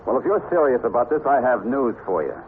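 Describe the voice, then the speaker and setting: An actor playing a gruff, impatient American police investigator in a 1950s radio drama, stern and sarcastic. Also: male voice, medium pitch, big pitch swings, fast talker, lightly acted. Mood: skeptical, explaining. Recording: muffled 1950s radio with faint noise.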